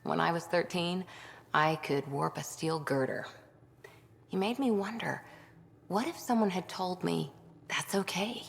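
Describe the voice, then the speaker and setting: An actress playing an earnest former commando who longs to belong, frank and reflective in short clipped phrases, in a video game. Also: female voice, fairly low pitch, unhurried, creaky, long pauses. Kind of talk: video game dialogue acting. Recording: long reverberant hall sound.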